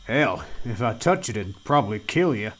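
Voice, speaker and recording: A man's voice: medium pitch, very animated, moderate pace, slight background noise, lightly acted. A man voicing a humble, plainspoken engineer in an easygoing drawl. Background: music.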